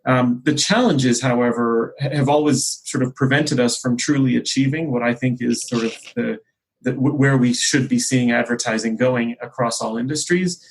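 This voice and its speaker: A man speaking as an executive guest on a podcast, explaining things in a conversational, discursive and measured way. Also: medium pitch, steady tone, slightly rough, rough recording.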